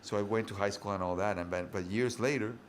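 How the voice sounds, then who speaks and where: A man's voice: lowish voice, fairly even intonation, quick pace, a little hiss or hum. A man in his forties from Venezuela, a guest telling his life story in long, run-on stretches full of restarts. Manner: talks in long run-on stories with many restarts. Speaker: interview guest, DJ and rapper. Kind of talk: rambling personal storytelling.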